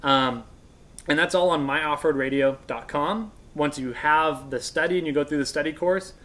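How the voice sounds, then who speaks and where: Man, American accent, thirties, fairly high pitch, casual instructional talk, conversational. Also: moderate pace, a bit bright. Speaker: guest radio expert and instructor. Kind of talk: casual explanation. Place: indoors on a couch in a workshop room.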